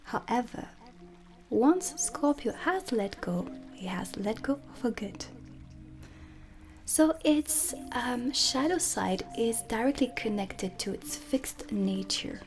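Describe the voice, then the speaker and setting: Slow, soft-spoken woman, half whispering. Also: on the high side, animated. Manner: soft, breathy, measured teaching delivery with deliberate pauses. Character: dark witch teacher, stern and aloof. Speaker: witch academy teacher in an ASMR roleplay. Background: ambient music.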